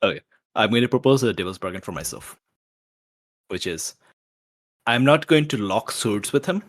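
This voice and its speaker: Low-voiced man playing a tabletop game. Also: lively intonation, moderate pace. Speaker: player in a tabletop roleplaying game. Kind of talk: casual game chat, thinking aloud.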